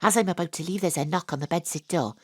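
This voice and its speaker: A woman playing a brisk, tongue-in-cheek private detective with a Welsh accent, acting and narrating in a comic audio drama. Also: on the low side, a little mellow, no echo, studio quality, natural voice. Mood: wry narration.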